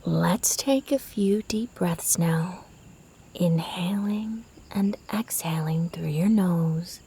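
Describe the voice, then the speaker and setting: Woman doing sleep meditation, soft whispery voice, really slow, faint crickets behind her. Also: fairly low pitch, a little hiss or hum. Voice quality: soft, breathy, half-whispered. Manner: soothing, gentle, unhurried. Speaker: meditation guide. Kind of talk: guided sleep meditation narration.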